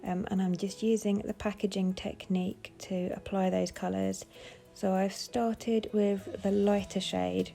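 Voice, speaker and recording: A woman with a cold, sounding bunged up, calmly explaining each step of her craft project in a casual tutorial voice-over. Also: medium pitch, measured pace, gravelly. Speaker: papercraft designer.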